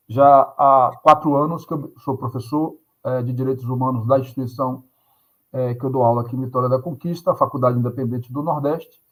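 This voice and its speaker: A man, a guest professor speaking earnestly in a podcast interview, with frequent hesitant fillers. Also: mid-range voice. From Salvador, Bahia, Brazil.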